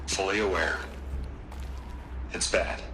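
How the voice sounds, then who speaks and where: An actor playing an uneasy, placating corporate executive in a video game, hesitant and ingratiating. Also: male voice, low voice, steady tone, takes it slowly, music in the background. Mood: grim. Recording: voice amid clear noise.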